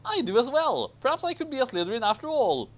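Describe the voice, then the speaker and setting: A man's voice: very high-pitched, wildly swooping pitch, fast, voice pushed up, full character voice. A man voicing an innocent, polite young boy with an earnest, questioning manner in a story reading. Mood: delighted.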